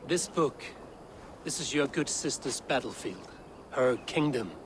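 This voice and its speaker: Clear background noise sits behind an actor as a stern Viking warrior. He has a mid-pitched male voice and speaks gravely and resolutely, in short game-drama lines. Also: expressive, a little slow, close to an everyday voice. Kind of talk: dramatic video game acting.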